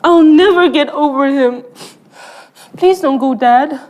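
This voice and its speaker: A woman's voice: sits high, slow, darkish tone. A heartbroken young daughter in a TV sitcom, speaking. She is sulky, plaintive and melodramatic. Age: young adult. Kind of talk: TV sitcom acting.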